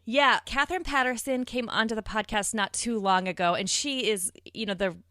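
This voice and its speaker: A middle-aged woman with a bright, forward voice in a dry, close recording. She talks in a chatty, animated way, as a podcast guest sharing casual conversation. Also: a little high, normal speed, no echo. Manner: animated, chatty, laughs often.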